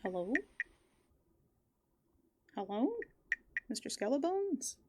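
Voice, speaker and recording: A woman chatting softly and casually in a whimsical, musing ASMR roleplay as a playful raccoon character, close to the microphone. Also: a little high, sing-song, slow pace, background noise.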